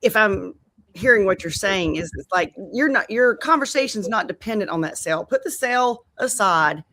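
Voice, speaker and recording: A woman chatting casually on a panel, lively and talkative with lots of filler words. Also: medium pitch, moderate pace, a little hiss or hum. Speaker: panel guest.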